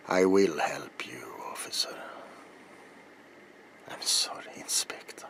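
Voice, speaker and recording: Older male vampire hunter , acted by a man, very low and slow, grave and ominous, dramatic ASMR roleplay delivery that sinks into whispers. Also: fairly bright tone, heavily acted. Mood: solemn resolve. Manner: deliberate, dramatic, dropping into breathy whispers. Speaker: character performer in roleplay.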